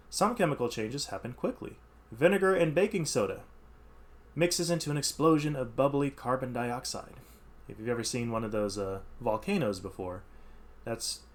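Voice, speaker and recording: A man reading educational text aloud as a teacher, stressing key words and adding informal explanatory asides. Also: lowish voice.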